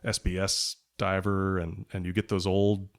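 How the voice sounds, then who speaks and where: A man with a low voice and plenty of vocal fry talks as a guest on a podcast. He rambles casually, pausing and circling as he thinks aloud. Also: level tone. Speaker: podcast guest, writer and product journalist. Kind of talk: casual conversational interview chat.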